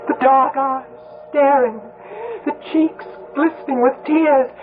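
An actress playing a haunted, grieving daughter in a radio drama, with intense, theatrical, deliberate phrasing. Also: female voice, high-pitched, steady tone, music in the background. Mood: haunted narration. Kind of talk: 1970s radio drama acting with dramatic narration. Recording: tinny, noisy old radio broadcast.

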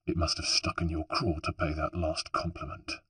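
A vengeful young assassin, voiced by a male narrator speaks coldly and with menace. The voice is deep and husky, and it sits dry and close to the mic. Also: moderate pace, dry recording. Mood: hissing. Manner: hissing, cold, menacing.

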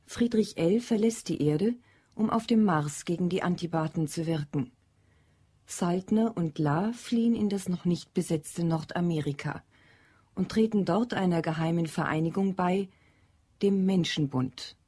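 Female narrator, lowish pitch, dark soft tone, composed, factual. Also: a little slow, breathy edge. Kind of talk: scripted narration, plot summary.

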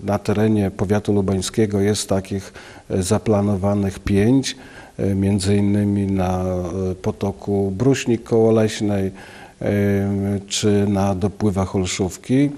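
Slow, halting man, low mellow voice. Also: level tone. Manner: halting, many filler sounds. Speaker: local official. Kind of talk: news interview. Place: office, indoors. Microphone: handheld station mic.

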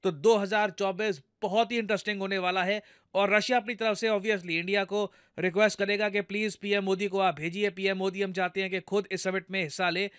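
Man, Indian accent, high-pitched, crisp clear voice, conversational news commentary, step-by-step explaining. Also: flat delivery, fairly quick, a bit bright, no echo, clean studio sound. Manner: conversational, explains step by step, addresses viewers directly. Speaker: news commentator, video host.

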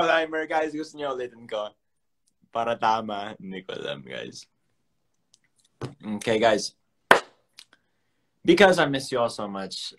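A man's voice: on the low side, a bit bright. A young man hosting a casual phone livestream, chatty and playful, mixing English and Tagalog. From United States. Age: young adult. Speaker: livestream host. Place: small room indoors. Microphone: phone at arm's length.

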